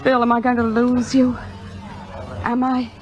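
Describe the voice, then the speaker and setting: An actress playing a worldly, possessive forty-year-old stage star in a 1950s Hollywood radio drama, with a wry, knowing theatrical delivery. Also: female voice, a little high, level tone, measured pace, a little mellow, lo-fi sound, close to an everyday voice. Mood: wry, anxious. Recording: vintage radio recording, music behind.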